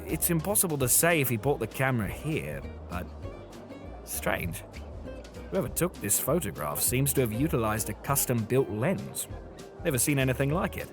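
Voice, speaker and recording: Man acting an animated, fussy British shopkeeper. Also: mid-range voice, moderate pace, natural voice. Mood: intrigued, helpful. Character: fussy British male camera shop clerk, chatty, suspicious. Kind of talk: scripted audio drama acting. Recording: music behind the voice.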